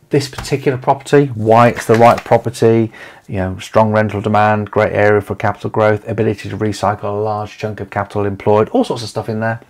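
A man talks to camera at his desk in a home office. His voice sits low and has a gravelly edge. He rambles easily, with lots of fillers and restarts. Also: darkish tone, slight background noise. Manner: rambling, with many fillers and restarts, leafing through papers. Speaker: property investment company owner. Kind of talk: casual to-camera video talk.

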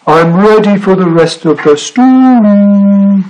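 A man voicing a fussy, excitable furry storybook creature, plaintive and insistent as he calls out. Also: a little high, unhurried, voice pushed up, rough recording. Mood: pleading, urgent.

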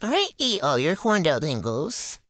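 A woman's voice: sits low, huge pitch swings, normal speed, no room sound, voice pushed down, heavily acted. Woman doing a bratty ditzy noob gymnast. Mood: bossy. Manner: valley-girl drawl, silly and boastful. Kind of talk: improvised game roleplay voiceover.

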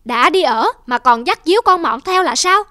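A woman's voice: high voice, animated, moderate pace, bright, forceful tone, no echo, clean studio sound. A woman voicing a stout, stingy middle-aged mistress in a cartoon folk tale, scolding and domineering. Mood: haughty, grudging. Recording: music behind the voice.

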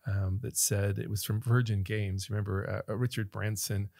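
A middle-aged man from Northern Ireland with an American accent, a relaxed guest telling stories in a casual studio interview. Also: on the low side, moderate pace, gravelly, no room sound. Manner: relaxed storyteller, laughs often, gestures with hands. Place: small podcast studio. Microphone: studio mic on boom arm, headphones on.

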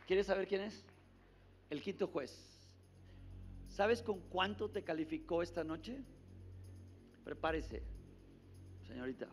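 Middle-aged male presenter, notably high voice, dramatic live show delivery. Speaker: host of a TV singing contest. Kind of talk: live stage hosting. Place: TV studio stage. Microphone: handheld microphone. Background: music.

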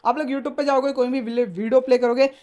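A man's voice: very high-pitched, fairly even intonation, a little fast, dark tone. A man giving a casual phone tutorial as a tech YouTuber, mixing in English terms and leaning on repeated filler phrases.

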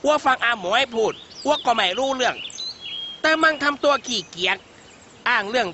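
Exasperated cartoon dad, thick Chinese accent. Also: male voice, extremely high pitch, animated, moderate pace, noisy background. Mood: exasperated complaint. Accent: Chinese-accented Thai. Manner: fretful, scolding, bewildered. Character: exasperated, gruff Chinese-Thai father. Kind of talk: Thai children's cartoon voice acting. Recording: muffled audio with music behind.

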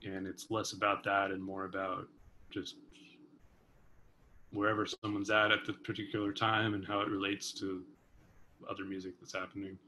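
A man's voice: deep voice, flat delivery, moderate pace, pauses often, bright, forceful tone, a little hiss or hum. A man in his thirties, a musician guest, talking thoughtfully from his kitchen over a video call, hedging with many fillers. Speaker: vibraphonist and composer guest. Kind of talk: casual conversation. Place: home kitchen. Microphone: video call webcam.

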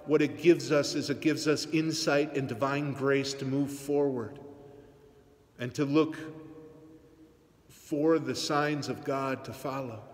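A man in his forties, a priest, giving an earnest, measured homily to his congregation in a large church. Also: on the high side. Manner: earnest, measured preaching with teasing asides. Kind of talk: sermon. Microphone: phone camera on the pulpit, aimed up. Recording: long church reverb.

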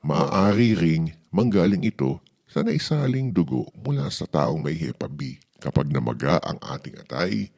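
A man's voice: sits low, sing-song, measured pace, vocal fry, darkish tone, heavily acted. A man with a Filipino accent playing a patient, reassuring father who explains things to his son in a storybook. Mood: explaining, warning. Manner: patient, instructive.

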